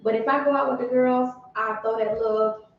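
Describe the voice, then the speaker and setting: A woman's voice: on the high side, fairly even intonation, brisk, a little hiss or hum. A woman in her late thirties co-hosting a podcast, talking candidly in casual chat and laughing easily. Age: 30s. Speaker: podcast co-host. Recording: echoey room, distant mic, dull sound.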